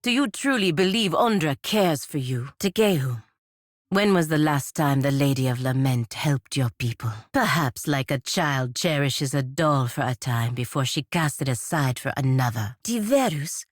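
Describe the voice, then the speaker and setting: Low-voiced, proud warrior woman. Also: lively intonation, no echo, studio quality. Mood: bitter scorn. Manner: formal, measured, curt and disdainful. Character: proud, stern feathered godlike warrior woman, sharp-tongued and dutiful. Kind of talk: scripted fantasy video game dialogue.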